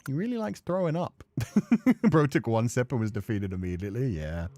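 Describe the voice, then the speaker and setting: A let's play host giving animated, slangy game commentary with casual swearing. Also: mid-range voice, moderate pace, a little hiss or hum.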